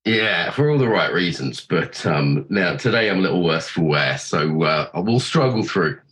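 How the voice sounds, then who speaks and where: A man's voice: low voice, animated, moderate pace. Chatty man with a cold, swearing casually. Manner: chatty, swears freely, thinks out loud. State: has a cold. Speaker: podcast host being interviewed. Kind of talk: casual chat.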